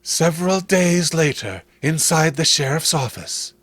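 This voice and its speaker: A man's voice: on the high side, expressive, a little slow, fairly bright tone, dry recording. A man reading a horror story aloud as narrator in a dramatic, scripted style.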